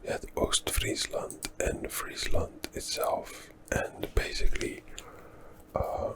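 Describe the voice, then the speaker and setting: A Dutch man, a native Dutch speaker, whispering softly through a relaxed ASMR history walkthrough and thinking aloud as he goes. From Netherlands. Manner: soft unvoiced whisper, unhurried, thinking aloud with fillers. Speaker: ASMR history channel host.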